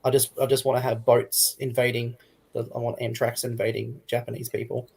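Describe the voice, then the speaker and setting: A man's voice: mid-range voice, monotone, brisk, gravelly, a little mellow, breathy edge. A man chatting casually as a panelist on a hobby livestream.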